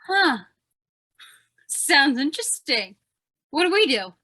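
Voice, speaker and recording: Woman playing a high, snappy news photographer. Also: very animated, slow pace, sharp tone, full character voice. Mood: skeptical. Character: snappy, sardonic female newspaper photographer sidekick. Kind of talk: old-time radio drama acting.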